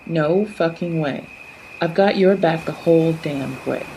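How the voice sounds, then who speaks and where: A woman's voice: deep voice, a little slow, warm tone, slight background noise, low-quality recording. A woman playing a loyal, plain-spoken companion in an audio drama, speaking earnestly and bluntly. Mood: firm, loyal.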